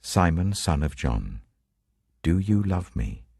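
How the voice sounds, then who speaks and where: A British man voicing Jesus as a calm, authoritative and gentle teacher in a Bible reading, slow, solemn and tender. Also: low-pitched, vocal fry, slightly rough, no room sound, studio quality, pitched above the performer's own voice, exaggerated voice. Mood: tender, searching.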